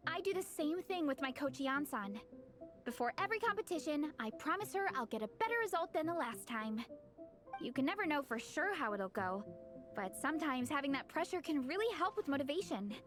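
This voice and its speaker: Game role: encouraging, food-loving young female athlete, high-pitched, enthusiastic, music behind. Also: expressive, a little fast. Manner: upbeat, earnest, enthusiastic. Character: peppy, encouraging young woman athlete who loves food and comics. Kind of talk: video game character acting.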